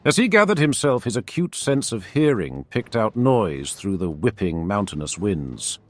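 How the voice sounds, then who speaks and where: A man's voice: lowish voice, big pitch swings, moderate pace. A man narrating a fantasy war story in a scripted, dramatic storytelling style.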